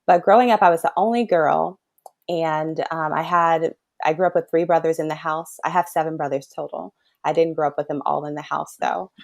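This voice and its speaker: A woman's voice: low voice, steady tone, brisk, gravelly, a little mellow. A woman in her thirties from the south suburbs of Chicago, a children's kidney doctor talking earnestly in a casual interview and laughing easily. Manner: thoughtful, earnest, laughs often. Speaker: guest, pediatric kidney doctor.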